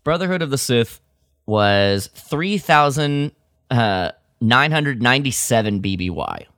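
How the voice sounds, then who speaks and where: A man's voice: mid-range voice, sing-song, a little slow, fairly bright tone, no room sound. Dry, close-miked man chatting casually. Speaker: podcast co-host. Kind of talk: casual fan discussion of lore.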